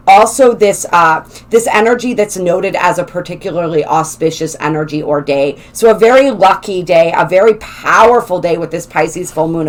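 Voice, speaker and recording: A woman hosting a spiritual channel, her voice slightly raspy, talking in a gentle, flowing way with some halting restarts. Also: fairly low pitch. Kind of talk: casual spoken explainer, partly read aloud.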